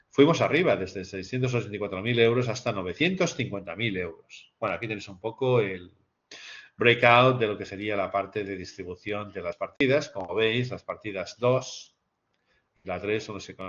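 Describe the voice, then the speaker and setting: A man's voice: lowish voice, fairly bright tone. A man giving an explanatory lecture over slides, repeating phrases for emphasis and posing rhetorical questions. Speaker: lecturer presenting a business case to master's students. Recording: dull, lo-fi sound.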